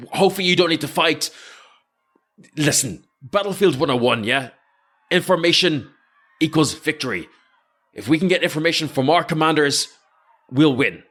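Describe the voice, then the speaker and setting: A man playing a brisk, excitable guard captain in a tabletop game, giving hurried orders in short, halting bursts. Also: on the high side, breathy edge, voice pushed up, heavily acted. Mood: brisk, commanding.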